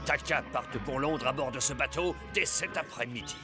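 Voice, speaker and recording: A man's voice: mid-range voice, normal speed, loud music behind the voice, lightly acted. A man playing a bossy, greedy, boastful hunter and trader in a cartoon, with a brash manner. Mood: commanding. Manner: commanding, brash. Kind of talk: dubbed cartoon acting.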